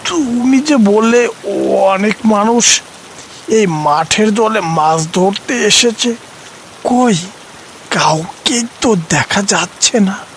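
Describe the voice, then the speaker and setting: A man's voice: high voice, animated, slow pace, background noise, exaggerated voice. A man voicing a puzzled fisher lad, questioning in a colloquial way, in a horror story.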